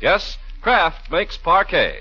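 A man's voice: high voice, big pitch swings, slow. A man announcing in scripted 1940s radio style, reading narration and advertising. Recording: muffled vintage 1940s radio recording.

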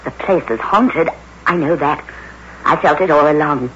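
An actress in an old radio drama playing a frightened but defiant wife, dramatic, imploring and indignant. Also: female voice, deep voice, moderate pace, close to an everyday voice. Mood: scornful, uneasy. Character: frightened, defiant wife pleading with her husband. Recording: dull, noisy old radio recording.

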